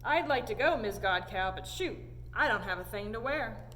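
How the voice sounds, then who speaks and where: Woman playing an eager young cowboy, folksy and animated. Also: medium pitch, moderate pace, lightly acted. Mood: wistful, pleased. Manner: folksy country phrasing. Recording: echoey hall, audible background noise.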